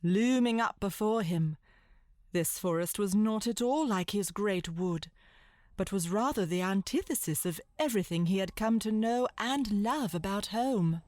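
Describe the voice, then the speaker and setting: A woman reading scripted literary narration for a children's audio drama in a measured, dramatic, descriptive way. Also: mid-range voice, expressive, a little mellow, no room sound, clean studio sound.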